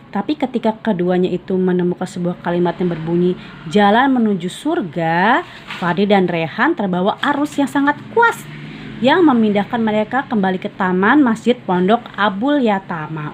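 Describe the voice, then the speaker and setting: A woman's voice: mid-range voice, darkish tone, slight background noise. A woman reading a storybook aloud and explaining it in a casual, informal way.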